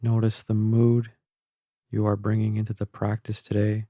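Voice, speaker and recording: A man guiding a meditation in a calm, gentle, measured way, leaving long pauses between phrases. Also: on the low side, no echo, clean studio sound. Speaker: guided meditation instructor. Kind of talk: slow guided meditation narration. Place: close, dry voice-only recording.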